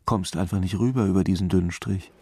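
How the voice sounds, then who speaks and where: Brooding, taunting inner voice, very low and creaky, intimate radio narration over music. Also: male voice, fairly even intonation, fast talker, background noise. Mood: quietly taunting.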